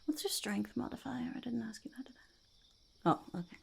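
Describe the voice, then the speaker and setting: Casting: woman to play sly goblin boss for ASMR roleplay, whispery with very heavy vocal fry, pompous yet halting, slight background noise. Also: on the high side. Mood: probing, secretive. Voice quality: whispery, breathy, creaky. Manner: halting, speaks of self in third person, mock-formal. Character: scheming, self-important goblin interviewing would-be henchmen.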